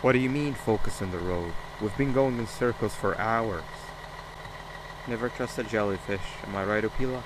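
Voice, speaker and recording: A man's voice: fairly low pitch, moderate pace, close to an everyday voice. A man voicing a cocky, teasing creature in a comic video game scene, chatting casually and sarcastically. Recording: clear car engine noise behind. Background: idling car engine.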